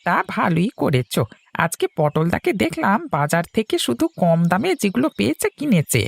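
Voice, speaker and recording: Woman playing a doting cartoon husband, gravelly creak, very lively pitch swings, chatty, coaxing, cheerful. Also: normal speed, no room sound. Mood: eager, chatty. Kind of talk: animated cartoon story dialogue.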